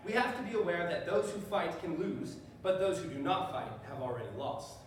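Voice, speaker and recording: A man on stage playing a principled defense attorney with a measured, rhetorical courtroom delivery. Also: high voice, lively intonation. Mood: measured, firm. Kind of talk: live stage readers theater. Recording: distant mic, echoey room, faint noise.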